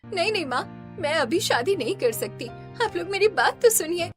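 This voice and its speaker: A woman's voice: very high-pitched, animated, moderate pace, over music, pitched above the performer's own voice. A woman voicing a determined, ambitious young woman in a cartoon, earnest and often protesting. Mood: pleading.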